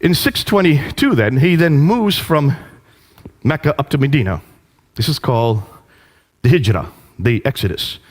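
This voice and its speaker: Man lecturing with lively storytelling. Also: mid-range voice, normal speed, long pauses. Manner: animated storytelling with rhetorical questions to the audience. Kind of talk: lecture to a live audience.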